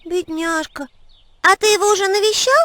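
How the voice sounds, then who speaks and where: Very high-pitched little cartoon girl. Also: fairly even intonation, normal speed, fairly bright tone, no echo. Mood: cheerful, curious. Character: cheerful caring little girl heroine of a children's cartoon. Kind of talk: animated children's educational cartoon acting.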